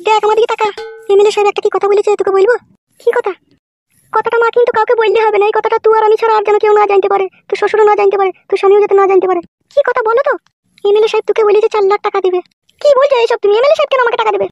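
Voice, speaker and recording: A woman's voice: extremely high pitch, fairly even intonation, racing, darkish tone, no room sound. A woman voicing scheming, anxious village women in a Bengali comedy cartoon, with colloquial, insistent rural speech. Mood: coaxing. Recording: music behind the voice.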